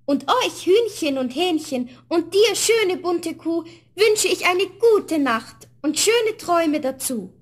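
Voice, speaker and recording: A woman's voice: extremely high pitch, expressive, takes it slowly, few pauses, heavily acted. A woman playing a sweet, dutiful young girl, polite and tender, in a fairy-tale radio play.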